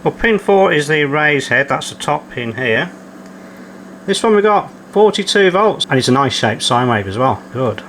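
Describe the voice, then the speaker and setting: Male repair host, higher-pitched and very animated intonation, muttering through each step over a noisy hum. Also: normal speed. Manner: muttering step-by-step, thinking aloud. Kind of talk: hands-on repair commentary. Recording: clearly noisy, steady hum behind.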